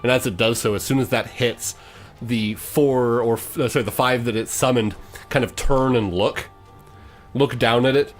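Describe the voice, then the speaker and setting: A man running a tabletop role-playing game as game master, improvising descriptive narration in a halting way. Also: medium pitch, steady tone, faint music behind.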